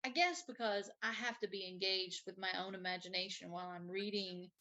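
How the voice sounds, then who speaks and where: Female live show host, bright tone, mid pitch, informal and a bit rambling. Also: brisk. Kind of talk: casual chat, reading out viewer comments.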